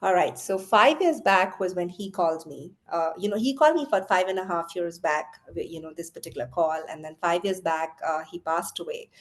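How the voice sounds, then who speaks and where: A middle-aged woman from Chennai, a company founder, telling her story in an interview with earnest pauses and fillers. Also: lowish voice. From Chennai, India. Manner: earnest, many fillers like "uh" and "you know".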